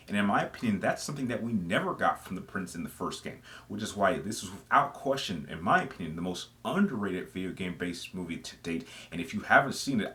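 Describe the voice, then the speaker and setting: Low-voiced man giving enthusiastic movie countdown commentary. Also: fairly bright tone. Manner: enthusiastic, emphatic, conversational. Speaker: video game movie reviewer, host. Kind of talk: opinionated top-five countdown commentary.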